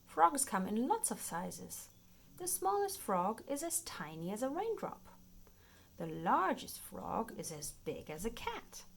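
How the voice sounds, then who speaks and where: Woman, children's storytime narrator, slow and expressive, very varied intonation, slightly creaky voice, faint background noise. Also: a little high. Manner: expressive, playful, clearly paced for young children. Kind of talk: read-aloud children's nonfiction storytime narration.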